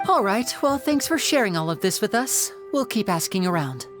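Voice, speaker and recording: An actor voicing a charming young male stage magician who leads an investigation, speaking smoothly and persuasively. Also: mid-range voice, sing-song, moderate pace, lightly acted. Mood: polite. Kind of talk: game voice acting. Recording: game audio with music behind.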